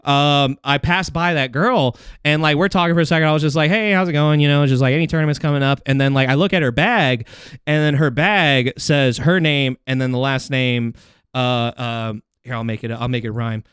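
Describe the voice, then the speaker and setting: A young man telling casual comedic stories in a rambling, animated, slangy way with plenty of swearing. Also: mid-range voice, normal speed, clean tone, no echo, clean studio sound. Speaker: podcast guest, comedian.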